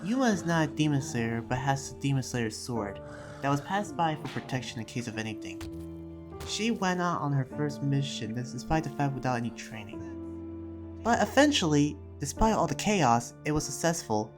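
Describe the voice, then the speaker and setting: A roleplayer voicing an earnest, troubled demon slayer, telling events in a halting, reflective recap with restarts. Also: medium pitch, expressive, natural voice. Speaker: roleplayer and video creator. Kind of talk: in-character recap narration and improvised roleplay. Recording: music under the voice. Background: music.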